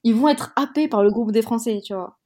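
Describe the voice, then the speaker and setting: Mellow-voiced young woman, frank and heated. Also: a little high, fairly quick. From Madagascar. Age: young adult. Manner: hesitant, many restarts and fillers. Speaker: interviewee. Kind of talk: casual conversation.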